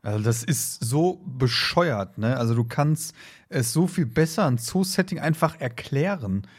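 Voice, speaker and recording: Husky man, blunt podcast co-host. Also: medium pitch, animated, a little slow. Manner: blunt, emphatic, colloquial. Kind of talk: casual podcast chat.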